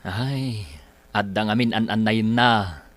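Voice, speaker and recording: A man's voice: on the low side, slow, fairly bright tone. A man playing a helpful grown eldest son in a radio drama, with a Filipino accent. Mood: concerned, calm. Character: grown eldest son trying to help his parents. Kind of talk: Ilocano radio drama acting.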